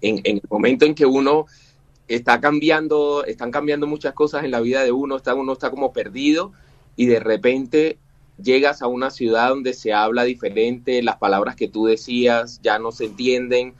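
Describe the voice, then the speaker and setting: A man's voice: mid-range voice, fairly even intonation, moderate pace, highs cut off. A man in his forties from Cuba, a musician guest talking in an interview, hesitant, with many fillers and restarts. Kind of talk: interview conversation.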